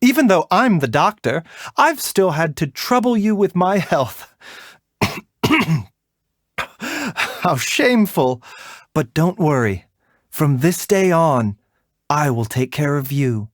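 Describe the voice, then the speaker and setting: A man voicing a gentle, refined video game doctor who is polite, soft-spoken, caring and a little wry. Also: fairly high pitch, very animated, no room sound, studio quality. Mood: warm, reassuring. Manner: measured, soft-spoken, polite, lightly teasing. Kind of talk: video game character voice lines.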